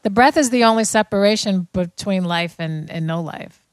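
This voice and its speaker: A soft-spoken woman co-hosting an interview, a singer herself, asking eager, curious questions. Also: medium pitch, normal speed, no echo. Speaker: co-host, also a singer. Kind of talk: casual interview chat.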